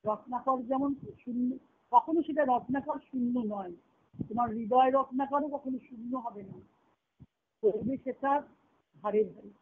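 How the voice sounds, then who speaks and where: A woman's voice: on the high side, moderate pace, a little hiss or hum. The voice comes thin and boxed-in over a phone conference line. A woman speaks as a spiritual teacher giving a devotional discourse. She explains in a reflective way, returning to key phrases and repeating them. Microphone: phone conference call. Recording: tinny narrowband conference-call phone line.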